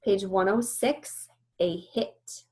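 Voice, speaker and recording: A woman's voice: mid-range voice, takes it slowly, warm tone. A woman teacher reading a storybook aloud and explaining it, instructive and often checking that listeners understand.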